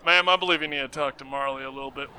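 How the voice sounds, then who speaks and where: A man with a bright, forceful voice speaks for his detective in a tabletop game. His voice is big and carries, and he breaks into laughter often. Faint noise hums under the recording. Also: mid-range voice, steady tone, racing. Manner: loud, carrying voice, laughs often. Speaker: player. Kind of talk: tabletop role-play, in character as a detective.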